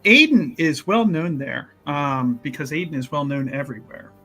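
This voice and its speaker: A man's voice: a little high, normal speed, faint music behind. A man telling his part of a tabletop game to viewers in a casual, rambling, emphatic way, as a player. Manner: animated, emphatic, rambling and repetitive.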